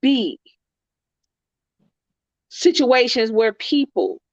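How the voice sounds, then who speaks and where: High-voiced congested woman, earnest. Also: animated, very slow, dry recording, lo-fi sound. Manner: earnest, reflective, preachy, repeats phrases. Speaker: co-host. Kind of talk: casual heartfelt chat.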